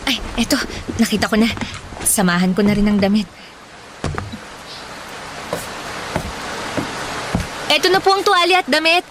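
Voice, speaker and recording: A woman's voice: on the high side, sing-song, quick pace. A woman playing a devoted young daughter in a Filipino radio drama, earnest and respectful, with a Filipino accent. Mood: concerned, fussing. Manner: earnest, respectful, fussing over her father. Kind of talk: radio drama acting in Tagalog. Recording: noisy ambience behind the voice.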